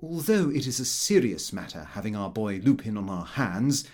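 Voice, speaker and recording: A man reading a comic first-person diary as an audiobook, in a prim, expressive, self-important manner. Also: fairly low pitch, normal speed. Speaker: audiobook narrator.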